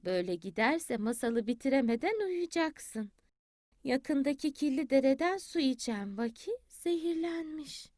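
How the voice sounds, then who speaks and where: Woman voicing a soft old grandmother hen. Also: high voice, expressive, measured pace, no echo, clean studio sound. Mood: soothing.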